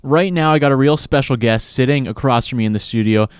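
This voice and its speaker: Young male host, eager sports chatter, smooth clean voice, dry studio sound. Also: medium pitch, fast, dry recording. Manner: enthusiastic, rambling. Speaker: podcast host. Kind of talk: casual sports talk.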